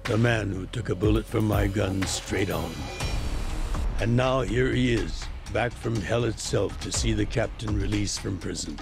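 Low, gravelly, composed male crime boss. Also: over music. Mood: calm authority. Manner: measured, authoritative. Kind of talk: dramatic video game cutscene dubbing.

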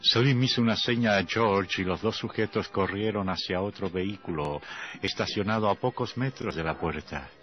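A man's voice: fairly low pitch, normal speed. A man narrating a scripted horror story as radio theatre. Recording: dull vintage radio recording. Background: music.

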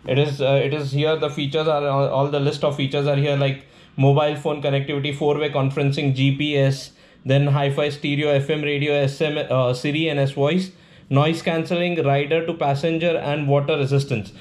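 A man with an Indian accent casually presenting a product demonstration, explaining in a halting, repetitive way. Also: on the high side, little pitch movement, darkish tone. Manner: halting, explanatory, repeats himself. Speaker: vlogger presenting a product unboxing. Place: indoors at a tabletop. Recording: echoey room with faint background noise.

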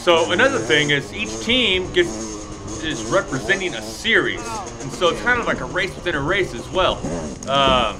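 A young man riding a dirt bike on a wooded trail, rambling excitedly about a race he rides in. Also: on the high side, normal speed, music in the background, low-quality recording. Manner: excited, rambling, cheering on riders. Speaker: motovlogger. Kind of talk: casual race motovlog commentary. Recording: helmet cam with loud engine noise.

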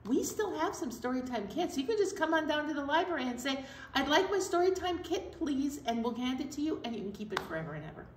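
A woman's voice: high-pitched, slight background noise. A middle-aged woman hosting a children's library storytime, talking simply to young children. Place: indoor library storytime room. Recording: echoey room, microphone far off.

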